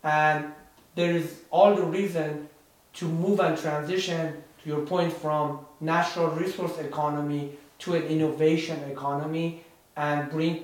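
A man from Iran, co-hosting a casual podcast chat in an earnest, measured way with frequent fillers. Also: a little high. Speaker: podcast co-host. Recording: very echoey room, distant mic.

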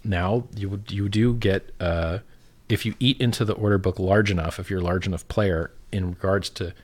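Blunt low-voiced man explaining casually. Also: steady tone, normal speed. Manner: blunt, swearing, emphatic with repetition. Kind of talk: opinionated casual explanation of cryptocurrency finance.

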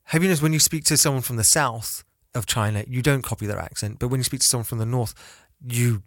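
Man, middle pitch, easygoing storyteller, lots of fillers, dry close mic. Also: fast, dry recording. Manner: relaxed storytelling, fillers like "like" and "you know". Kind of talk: casual chat.

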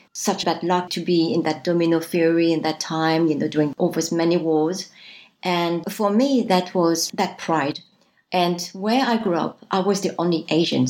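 A woman's voice: fairly low pitch, darkish tone, low-quality recording. A woman in her fifties, raised in France, telling her family story in an earnest, reflective way as an interview guest. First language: French. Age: 50s. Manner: starts many sentences with "so", earnest and reflective.

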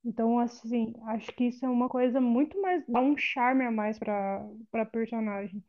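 A woman's voice: fairly high pitch, moderate pace, lo-fi sound. A woman in a casual film chat, talking through her opinions with many restarts and fillers.

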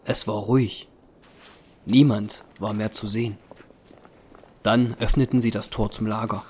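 A man's voice: lowish voice, fairly even intonation, unhurried, a little mellow, slight background noise. A man narrating a scripted audio drama in storytelling prose.

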